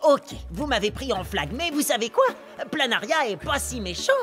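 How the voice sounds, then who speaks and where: A young adult actor in a French cartoon dub playing an excitable, cheeky, talkative schoolboy amoeba with slangy, exaggerated delivery. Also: mid-range voice, normal speed. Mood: pleading, enthusiastic. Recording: loud music under the voice.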